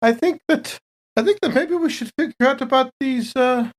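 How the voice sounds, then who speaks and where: A woman's voice: high-pitched, moderate pace. A woman playing a polite, evasive company captain in improvised sci-fi role-play, hesitant and genteel in her phrasing. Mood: coyly evasive.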